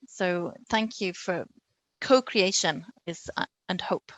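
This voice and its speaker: A woman's voice: on the low side, slow pace, no echo. A woman moderating a seminar panel, reflective and slightly hesitant.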